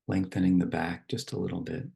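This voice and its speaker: A man leading a guided meditation and reading verse, speaking slowly and softly with long pauses. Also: sits low, flat delivery, gravelly, husky. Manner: slow, unhurried, soft instruction with long pauses. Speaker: meditation teacher.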